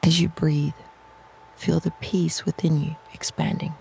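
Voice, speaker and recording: A meditation narrator speaking slowly and softly in a soothing, near-whispered delivery, reading a scripted guided visualization. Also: medium pitch, flat delivery, faint music behind, poor audio. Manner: slow, soft, soothing, breathy near-whisper phrasing.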